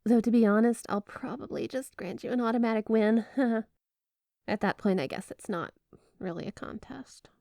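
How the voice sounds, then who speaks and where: A woman's voice: fairly high pitch, moderate pace, gravelly, dark tone, dry recording, clean studio sound. A woman playing a flirty, obsessive yandere snow spirit wife in an ASMR roleplay, gushing, coy and sweetly doting. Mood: fond, teasing. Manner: gushing, coy, playfully suggestive. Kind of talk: ASMR roleplay addressed to the listener.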